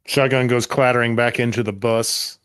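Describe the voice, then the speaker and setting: A man's voice: medium pitch, steady tone, moderate pace, fairly bright tone, clear, resonant tone, dry recording. A man narrating a tabletop horror game as game master in terse, dramatic, vivid phrases.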